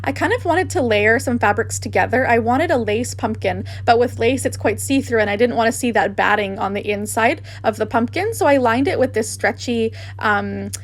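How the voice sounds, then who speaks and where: A woman's voice: a little high, normal speed, hardly any pauses. A woman hosting a craft tutorial, narrating casually with gushing enthusiasm.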